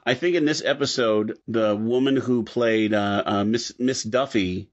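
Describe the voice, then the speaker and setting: A man co-hosting a podcast, chatting casually and a little haltingly about old-time radio. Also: mid-range voice. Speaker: podcast co-host. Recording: slightly dull, lo-fi audio.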